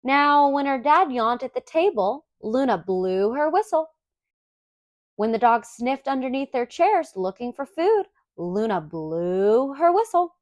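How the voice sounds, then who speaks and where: A woman narrates a picture book for young children with a fairly high voice that swings widely in pitch. Her delivery is expressive and clearly enunciated, and the sound is dry and close. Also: a little slow, no room sound. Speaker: storybook reader.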